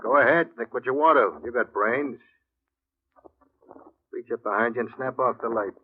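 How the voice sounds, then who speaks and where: A man's voice: mid-range voice, moderate pace, lightly acted. An actor playing a cool, threatening gangster henchman with a terse, blunt delivery in an old radio drama. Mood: cold, commanding. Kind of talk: 1940s-50s radio acting. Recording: muffled, hissy old radio recording.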